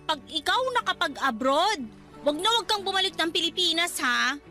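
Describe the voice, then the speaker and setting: A woman's voice: very high voice, animated, normal speed, sharp tone, faint music behind, full character voice. An actress playing a practical, exasperated young Filipino woman scolding her cousin in a radio drama, with a colloquial Filipino accent.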